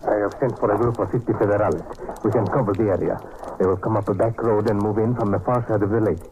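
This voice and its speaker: An actor playing a courteous, cautious Mexican police inspector in an old-time radio drama, speaking formally and carefully with a Mexican accent. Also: male voice, lowish voice, steady tone, close to an everyday voice. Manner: formal, measured, no contractions. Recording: noisy vintage radio recording.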